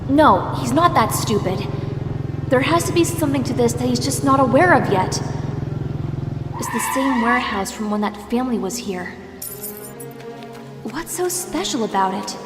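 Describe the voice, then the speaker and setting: A woman's voice: on the high side, expressive, moderate pace, pauses often, natural voice. A woman playing a feisty young crime-fighting sidekick in a dramatic, sarcastic audio drama style. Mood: anxious, determined. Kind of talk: audio drama acting with first-person narration. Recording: echoey long reverb, music underneath.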